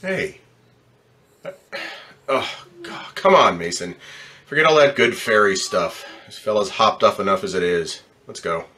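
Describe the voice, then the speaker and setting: A man's voice: on the low side, expressive, a little slow, bright, forceful tone. An actor playing a brusque, impatient, slangy ambulance man in an old-time radio drama. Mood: gruff, impatient. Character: gruff, impatient, no-nonsense male ambulance man.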